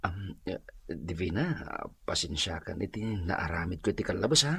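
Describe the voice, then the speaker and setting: A man's voice: low voice, expressive, moderate pace, bright, forceful tone, breathy edge, exaggerated voice. A male actor playing a sheepish, eager-to-please suitor in a radio comedy drama, stammering and effusive, with an Ilocano accent.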